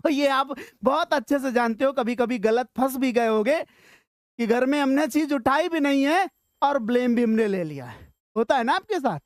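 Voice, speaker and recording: A woman's voice: sits high, lively intonation, normal speed, no echo, studio quality. A woman with an Indian accent teaching an informal lesson, chatty and encouraging, often checking that learners follow. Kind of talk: informal grammar lesson mixing Hindi and English.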